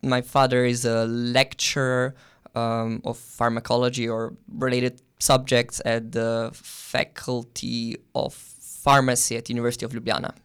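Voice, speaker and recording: A young man from Slovenia, a guest on an interview show, answering in a thoughtful way with many pauses and restarts. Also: medium pitch, little pitch movement, a bit bright, clean tone. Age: young adult. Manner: thoughtful, with frequent ums and restarts. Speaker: guest, exchange student.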